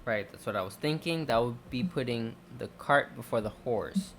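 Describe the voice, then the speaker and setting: A gamer giving casual gameplay commentary in halting, muttered self-talk. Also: mid-range voice, expressive, slight background noise. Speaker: gaming video creator. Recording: game music behind.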